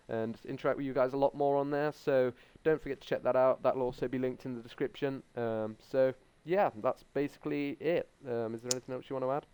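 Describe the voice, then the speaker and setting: A man's voice: medium pitch, mellow, smooth. A young man hosting a casual tech podcast, talking hesitantly with many ums. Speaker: podcast host.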